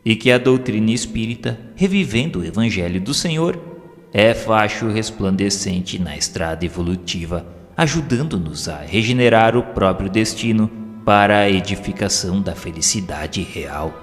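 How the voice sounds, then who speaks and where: Brazilian man, low voice, solemn formal narration, measured oratorical delivery, music underneath. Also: animated. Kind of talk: solemn scripted narration of a religious audio drama. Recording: long hall reverb, music underneath. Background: background music.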